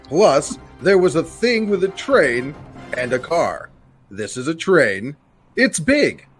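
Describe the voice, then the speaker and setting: A man playing a pompous TV news anchor in a parody newscast, explaining things in a flat, over-simple way. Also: fairly high pitch, slow, soft background music. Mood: deadpan.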